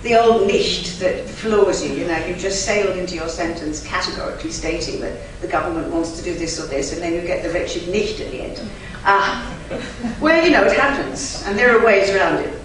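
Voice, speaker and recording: A woman whose first language is German, a conference interpreter giving an emphatic, chatty lecture to a live audience with lively asides. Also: lowish voice, fairly quick. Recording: very echoey hall, from far off, faint noise.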